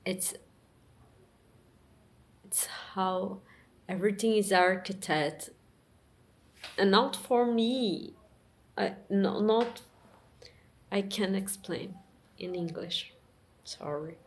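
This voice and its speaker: Woman, halting English monologue, very slow and soft-spoken. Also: on the low side. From Brazil. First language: Portuguese. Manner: halting, searching for words, many pauses and restarts. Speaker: vlogger. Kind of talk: personal reflective monologue.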